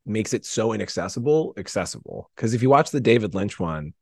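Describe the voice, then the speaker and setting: A man chatting casually as a podcast guest in a rambling, animated, slangy way. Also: on the low side, fairly quick, gravelly, breathy edge, no echo, studio quality. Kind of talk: casual chat.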